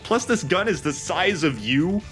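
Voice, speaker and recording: A man's voice: high voice, lively intonation, measured pace. A man giving casual game commentary with a wry, mock-dramatic sense of humour. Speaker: let's play commentator. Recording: game music behind the voice. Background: loud video game music.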